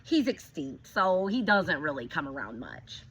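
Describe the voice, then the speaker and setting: A woman teacher reading a picture book aloud to young children, expressive, stopping to ask them questions. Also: sits low, measured pace, husky.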